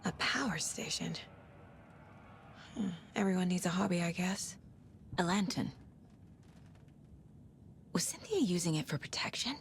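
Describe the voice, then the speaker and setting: Faint ambient noise surrounds a low-voiced female investigator in a horror game. She mutters her thoughts to herself, level-headed, dry and understated. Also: animated, a little slow, pauses often. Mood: pondering, hushed. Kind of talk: video game acting.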